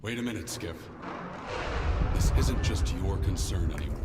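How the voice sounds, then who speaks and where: Solemn game faction leader, low slow voice, echoey, super deliberate. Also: male voice, lively intonation. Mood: grave, resolute. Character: grave male leader of a free ex-cult faction. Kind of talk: dramatic video game cutscene acting. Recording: echoey, loud music behind.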